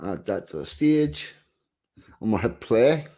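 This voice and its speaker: A man's voice: on the low side, sing-song, slow pace, mellow. A man hosting a casual live stream, chatting loosely with viewers and muttering under his breath. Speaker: streamer host.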